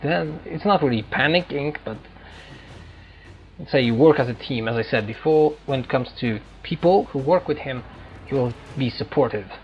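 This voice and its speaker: A man who is a non-native English speaker giving casual, halting, digressive commentary as a gaming let's player. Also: medium pitch, lo-fi sound. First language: not English. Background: faint game music.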